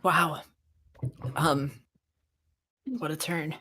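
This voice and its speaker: Slow-paced, slangy tabletop roleplay player. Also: mid-range voice, flat delivery, pushed and bright. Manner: slangy, swearing, boastful. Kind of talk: casual game-session chat, narrating combat moves.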